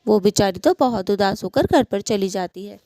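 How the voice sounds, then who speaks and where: A female storyteller with a mellow, softly rounded voice at a middle pitch. She narrates a scripted cartoon tale, recorded dry and close. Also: lively intonation, moderate pace, no room sound.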